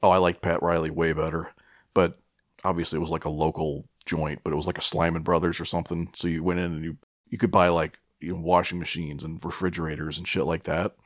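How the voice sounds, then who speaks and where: Low-voiced American man, rambling casually. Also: little pitch movement, no echo, studio quality. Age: middle-aged. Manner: profane, rambling, halting. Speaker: podcast co-host. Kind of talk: casual chat, rambling storytelling.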